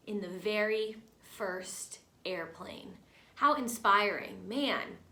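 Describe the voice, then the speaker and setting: Expressive teacher reading aloud to kids. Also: female voice, fairly high pitch. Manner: slow, expressive storytime reading with questions to children. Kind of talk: reading a picture book aloud to a class. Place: living room, on a couch. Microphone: phone camera at a short distance. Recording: echoey room.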